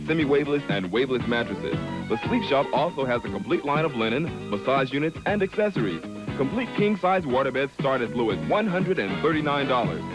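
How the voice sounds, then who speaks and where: A man reading a scripted local television commercial as an announcer. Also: medium pitch. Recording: 1980s TV ad, loud music bed.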